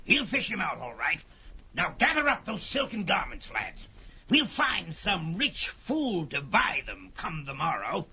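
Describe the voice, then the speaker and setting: An actor playing a sly, greedy ringleader of tavern thugs, conspiratorial and rough in archaic fantasy-drama speech. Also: male voice, high voice, sing-song, a little slow, pushed and bright, slight background noise, heavily acted. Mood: greedy satisfaction. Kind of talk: pulp fantasy audio drama acting. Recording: dull lo-fi, crowd behind.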